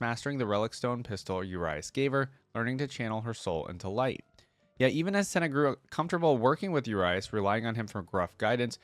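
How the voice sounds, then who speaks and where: Low-voiced man hosting a reaction video. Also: dry recording. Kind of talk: casual reaction commentary mixed with reading lore aloud.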